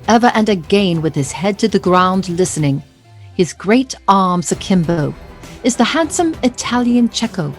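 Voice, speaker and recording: A woman's voice: medium pitch, a little mellow, lightly acted. A woman narrating an audio play as a wry, theatrical storyteller reading scripted literary prose.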